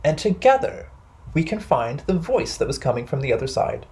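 A man's voice: a little high, very animated, normal speed, darkish tone. A man voicing a small, friendly young beaver in a children's story, earnest and eager. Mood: earnest, inviting.

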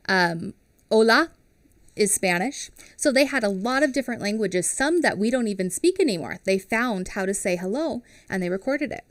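Lively woman teacher reading aloud. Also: mid-range voice, no echo, clean studio sound. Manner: animated and expressive, explaining slowly to young kids. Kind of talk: children's read-aloud with explanations. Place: small home studio with a classroom wall behind her. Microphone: headset mic.

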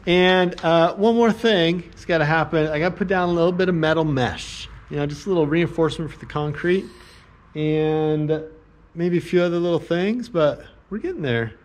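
A man's voice: a little high, moderate pace. A man in his forties, talking casually and self-deprecatingly to a handheld camera while working on a building project outdoors. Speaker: channel host. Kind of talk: casual DIY build vlog. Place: outdoors and in an open-sided barn on a gravel site. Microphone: action camera held at arm's length.

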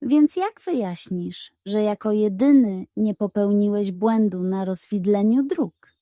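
A stern, upright Wild West sheriff from a children's storybook, acted out by a woman. He speaks slowly and gravely, in measured accusations, with a mellow tone. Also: mid-range voice, no echo, studio quality, lightly acted. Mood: accusing.

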